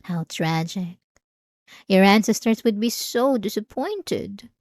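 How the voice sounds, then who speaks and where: A woman playing an ancient, obsessive and lonely female vampire in a theatrical ASMR roleplay, with a teasing, seductive, drawn-out delivery. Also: a little high, a little mellow, dry recording, studio quality. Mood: menacing, reproachful. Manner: dramatic, teasing, drawn-out delivery with breathy asides.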